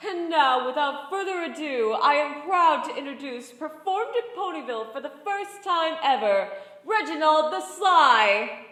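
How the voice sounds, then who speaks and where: A woman's voice: sits high, animated, unhurried, pushed and bright, reverberant, full character voice, rough recording. A woman voicing a proud schoolteacher pony, formally proclaiming to a theater audience in a story reading. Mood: grand, announcing. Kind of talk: theatrical stage announcement.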